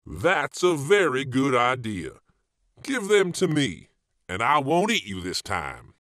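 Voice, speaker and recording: Vain, greedy tiger, put on by a male reader; slow, swooping, threatening delivery. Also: wildly swooping pitch, fairly bright tone, dry recording, clean studio sound, full character voice. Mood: menacing. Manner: slow, deep, menacing growl of a voice. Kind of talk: storybook reading.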